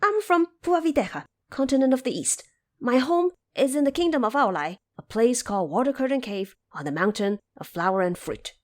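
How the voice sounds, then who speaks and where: A woman playing an eager, naive male monkey king in an audio drama, earnest and excitable. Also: on the high side, fairly quick, voice pushed up, natural voice. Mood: proud, polite.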